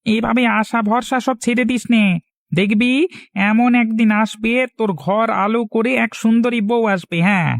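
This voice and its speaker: A man's voice: extremely high pitch, steady tone, moderate pace, dry recording, clean studio sound. A man playing a kindly older village uncle in a Bengali cartoon, coaxing and reassuring. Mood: encouraging.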